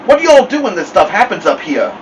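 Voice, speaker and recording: A man's voice: high voice, wildly swooping pitch, normal speed, fairly bright tone, recorded from a distance, a little hiss or hum, pitched above the performer's own voice, heavily acted, rough recording. A man voicing a worried, caring mother with Southern turns of speech in a story.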